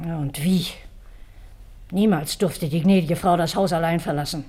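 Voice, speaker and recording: An elderly housekeeper played by an actress in a radio crime play. Her voice is rough and husky, and she talks in a hard, scornful, indignant way. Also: lowish voice, moderate pace, dark tone, lightly acted. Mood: bitter, indignant. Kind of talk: German radio crime drama dialogue.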